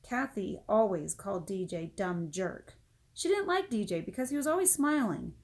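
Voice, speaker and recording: A woman reading a children's book aloud as an expressive storyteller. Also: medium pitch, normal speed. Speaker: storybook reader.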